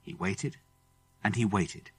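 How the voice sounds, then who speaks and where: Male British audiobook narrator, children's adventure; husky tone, very animated, expressive delivery. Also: on the low side, slow. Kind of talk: scripted children's story narration.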